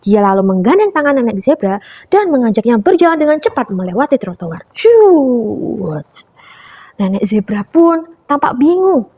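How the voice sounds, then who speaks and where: A young woman telling a story to preschool children in an animated way, often turning to her listeners. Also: on the high side, moderate pace, breathy edge. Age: young adult. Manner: animated, calls listeners "friends". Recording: dull lo-fi recording.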